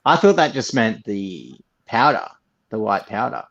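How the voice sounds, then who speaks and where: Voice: mid-range voice, animated, a little slow, a bit bright, muffled recording. A co-host bantering casually on a comedy show in a laid-back, slangy way.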